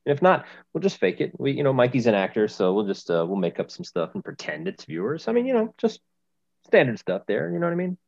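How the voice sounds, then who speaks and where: A man's voice: medium pitch, sing-song, fast talker, creaky, a little mellow, no room sound, lo-fi sound. A man co-hosting a podcast, chatting casually and rambling with lots of restarts. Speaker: podcast co-host. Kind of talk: casual chat.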